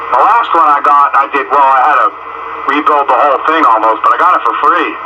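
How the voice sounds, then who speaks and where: A man's voice: medium pitch, a little fast, bright, forceful tone. An American man chatting casually over two-way radio as a ham operator. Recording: noisy muffled two-way radio transmission.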